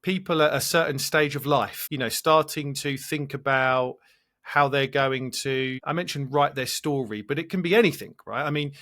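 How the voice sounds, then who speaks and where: A man's voice: medium pitch, normal speed, a bit bright. A man hosting a podcast interview, enthusiastic and asking long, rambling questions. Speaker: podcast host.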